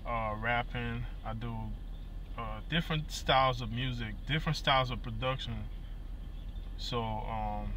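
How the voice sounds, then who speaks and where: A man's voice: medium pitch, sharp tone, a little hiss or hum. A man in his thirties with a Liberian accent, talking earnestly to his phone camera from inside a vehicle, with many fillers and repeated words. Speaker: musician and vlogger. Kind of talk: casual talk to camera. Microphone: phone camera at arm's length.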